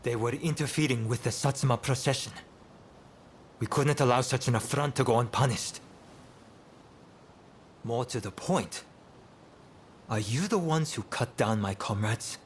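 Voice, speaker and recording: An actor playing a stern, proud samurai in a video game cutscene, speaking in a formal, blunt way. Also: male voice, a little slow, pauses often, lightly acted. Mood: indignant. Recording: rumbling background noise.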